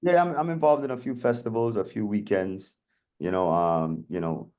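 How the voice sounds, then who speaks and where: Casting note: low-voiced man from Atlanta as a DJ guest, chatty, filler-heavy storyteller. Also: moderate pace, a little mellow. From Atlanta, USA. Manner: chatty, lots of "you know" fillers. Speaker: guest DJ and producer. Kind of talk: casual interview storytelling.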